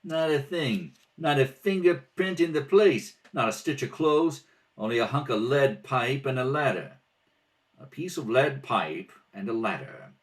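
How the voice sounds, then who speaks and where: A man reads through a vintage radio mystery in rehearsal, taking several parts. His voice sits at a middle pitch and moves with lively, animated inflection. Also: unhurried. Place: at home, at a kitchen table.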